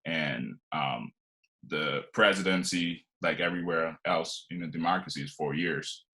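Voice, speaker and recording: A man's voice: extremely low pitch, a little slow, sharp tone. A man in his twenties, originally from Liberia, telling his life story as a podcast guest in a loose, rambling way full of fillers and restarts. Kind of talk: casual conversational storytelling.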